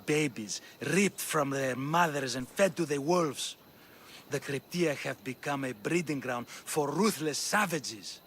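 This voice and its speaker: A man's voice: a little high, measured pace, a bit bright, slight background noise. An actor playing a wry, shrewd Spartan man of authority in a video game, with a dry, grave manner. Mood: grave, disdainful. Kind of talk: dramatic video game acting.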